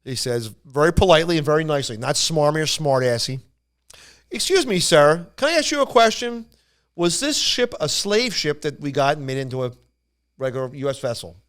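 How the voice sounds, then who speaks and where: A man casually telling anecdotes on a podcast in a rambling, animated way, acting out what people said. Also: a little high, a little fast. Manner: rambling, animated retelling with acted-out quotes. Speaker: podcast storyteller.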